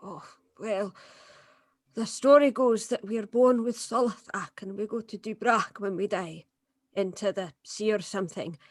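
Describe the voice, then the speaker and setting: A woman's voice: medium pitch, unhurried, no echo, clean studio sound, lightly acted. An actress playing a tough, pragmatic, wry woman accomplice, plain-spoken and firm, in a dramatic script read-through. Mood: quiet, wistful.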